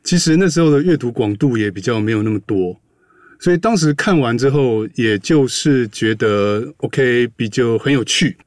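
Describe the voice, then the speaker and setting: A man's voice: medium pitch, a little mellow, no echo, studio quality. A man, giving reflective commentary as a guest in a casual chat.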